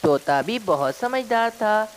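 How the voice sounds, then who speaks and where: A woman's voice: mid-range voice, animated, unhurried, slight background noise. A woman narrating a scripted children's story as its storyteller.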